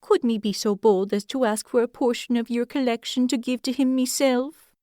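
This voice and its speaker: A woman's voice: on the high side, moderate pace, mellow, no echo, studio quality, voice pushed up, lightly acted. A woman voicing a shy, meek little male hedgehog who talks in quaint, broken grammar. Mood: timid.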